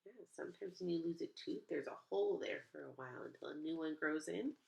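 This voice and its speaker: A woman reading a picture book aloud to young children, pausing to ask them questions in an animated way. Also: sits low, vocal fry.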